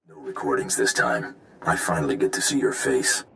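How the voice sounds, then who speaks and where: A man playing a secretive, coolly menacing villain in a video game, speaking in a measured, gravelly voice. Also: very animated, fairly bright tone, slight background noise, heavily acted. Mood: cool, controlled. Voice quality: gravelly, very deep.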